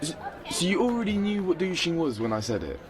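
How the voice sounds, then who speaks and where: Embarrassed young man, played by a male actor, hesitant, animated intonation, radio play, busy outdoor background. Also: on the high side, brisk, crowd noise. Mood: sheepish. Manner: hesitant, awkward. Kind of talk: naturalistic radio play dialogue.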